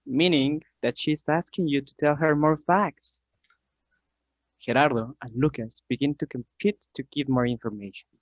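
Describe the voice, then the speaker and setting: A man's voice: mid-range voice, moderate pace, close to an everyday voice. A man playing the narrator of a classroom radio play, explaining things in an instructive, scripted way. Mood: explanatory. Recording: dull lo-fi recording.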